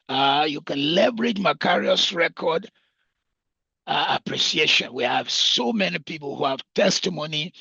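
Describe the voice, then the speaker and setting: High-pitched, gravelly Nigerian man. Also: bright, forceful tone, no room sound, muffled recording. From northern Nigeria. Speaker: property developer presenting an estate. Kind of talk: unscripted promotional talk at a roundtable.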